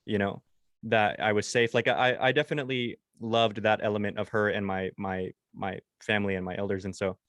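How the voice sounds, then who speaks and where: A man's voice: on the low side, flat delivery, fairly quick, fairly bright tone, no room sound, studio quality. A man in his twenties, a dog-trainer podcast co-host, chatting casually and rambling with lots of restarts and fillers. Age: 20s.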